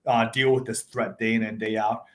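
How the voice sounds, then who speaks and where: A man's voice: fairly low pitch, level tone, a little fast, a bit bright. A man in his thirties, born in Taiwan and raised in South Africa, telling his story as a startup founder in a casual interview, chatty with frequent fillers. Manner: conversational, many fillers and restarts. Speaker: tech startup CEO, guest.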